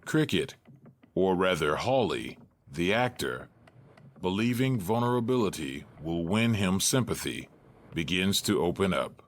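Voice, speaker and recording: A man with a very low voice narrates a scripted drama. He reads slowly, with plenty of movement in his pitch. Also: very animated.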